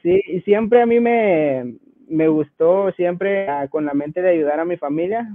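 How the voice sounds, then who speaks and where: A man's voice: sits high, measured pace, dry recording, narrow, tinny audio. A man in his twenties with a Guatemalan accent, telling his personal story casually as an interview guest. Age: 20s.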